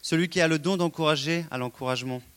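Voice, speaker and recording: A man's voice: on the high side, quick pace, fairly bright tone, smooth. A man preaching a sermon, earnest and exhorting, with frequent rhetorical questions to the congregation.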